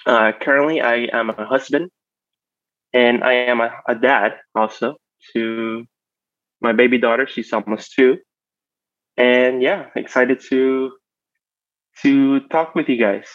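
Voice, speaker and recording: A man's voice: medium pitch, fairly even intonation, slow pace, pauses often, a bit bright, no echo. A man in his thirties from Mexico, chatting casually as a panel guest on slightly lo-fi audio. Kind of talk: casual self-introduction.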